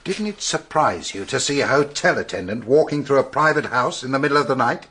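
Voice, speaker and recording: A British police superintendent in a radio mystery. His voice is mid-pitched with a husky edge. He is sceptical and businesslike, putting questions in a dry, measured way. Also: expressive, bright, forceful tone. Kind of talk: British radio drama acting.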